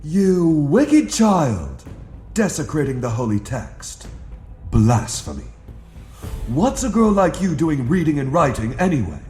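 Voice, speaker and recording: A man's voice: on the high side, huge pitch swings, takes it slowly, a little mellow, echoey room, faint music behind, heavily acted. A man playing a cruel old priest in an audio story, thundering scornful condemnation. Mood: outraged, scornful. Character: cruel, lecherous old priest scolding a child.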